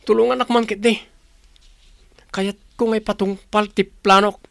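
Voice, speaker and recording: A man's voice: high-pitched, flat delivery, measured pace, gravelly, fairly bright tone, dry recording, full character voice. A man acting an earnest young man in an Ilocano radio drama, with an Ilocano accent. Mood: intense, pleading.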